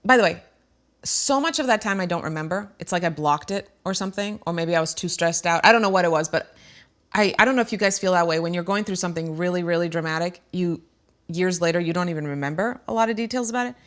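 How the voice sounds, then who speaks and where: A woman's voice: lowish voice, fast, fairly bright tone. A middle-aged woman hosting a talk show, speaking earnestly and emotionally to viewers about her own life, with frequent fillers. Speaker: show host. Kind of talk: candid personal talk to viewers.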